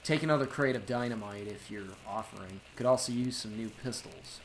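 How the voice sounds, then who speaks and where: An actor playing a cool-headed speakeasy owner and secret vigilante, terse and dry, in an old-time radio drama. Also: male voice, medium pitch, fairly quick, soft background music, natural voice, rough recording. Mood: businesslike.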